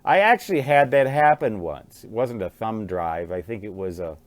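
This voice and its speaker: An American man in his sixties, musing aloud in a halting, rambling monologue and often repeating words. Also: lowish voice, lively intonation, no room sound. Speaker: vlogger, writer and ham radio hobbyist.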